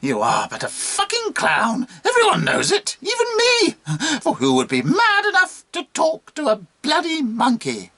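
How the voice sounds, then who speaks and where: A scornful talking monkey, as acted out by a man, whining and taunting with theatrical relish. The pitch swoops up high and the delivery is bright and piercing, like a creature from a grown-up storybook poem. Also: wildly swooping pitch, a little slow, full character voice. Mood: exasperated, jeering. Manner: taunting, theatrical, grandiloquent insults. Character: mocking, foul-mouthed, whining talking monkey. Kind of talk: dramatic poetry reading.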